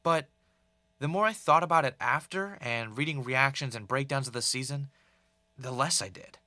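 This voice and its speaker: A man's voice: medium pitch, moderate pace, bright, forceful tone, no echo, lightly acted. A man playing an earnest, doubtful podcast host in a scripted audio drama. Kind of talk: fictional podcast narration and conversation.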